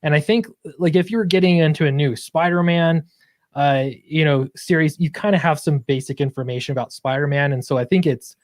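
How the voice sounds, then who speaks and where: A man's voice: on the high side, a little fast, no echo, clean studio sound. A man chatting casually as an interview guest, explaining his creative work in an earnest way. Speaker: guest comic book creator. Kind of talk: casual interview chat about own project.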